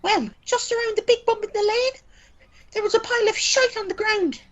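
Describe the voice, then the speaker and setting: Woman acting dim but earnest irish stable hand, super high voice, bit muffled recording. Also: fairly even intonation, brisk. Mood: excited. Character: eager, simple-minded young stable hand. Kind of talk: amateur radio play acting.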